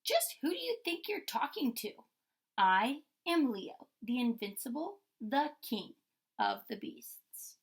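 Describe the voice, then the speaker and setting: A proud, theatrical lion from a children's storybook, acted out by a woman. He speaks grandly and without hurry, with some creak in the voice. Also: on the high side, huge pitch swings, slow pace, heavily acted. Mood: haughty, indignant. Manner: pompous, dramatic. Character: proud, boastful male lion king, softening into kindness.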